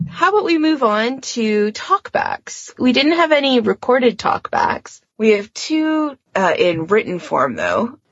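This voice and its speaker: Casual woman podcaster with a creaky voice. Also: a little high, big pitch swings, measured pace, few pauses, no room sound, muffled recording. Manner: informal, chatty. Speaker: podcast host. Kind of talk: casual fandom podcast chat.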